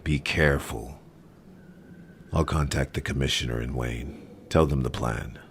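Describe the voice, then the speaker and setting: An actor playing a grim, commanding masked vigilante in an audio roleplay, giving terse orders in a gravelly voice. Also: male voice, extremely low pitch, a little slow, a little hiss or hum, heavily acted. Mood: stern, urgent. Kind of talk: ASMR roleplay acting.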